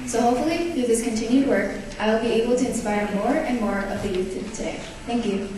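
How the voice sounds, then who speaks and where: A woman's voice: fairly high pitch, brisk, very noisy. Earnest woman in an echoing theatre. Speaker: pageant candidate. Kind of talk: speech to a pageant audience. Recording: echoey theatre from far off.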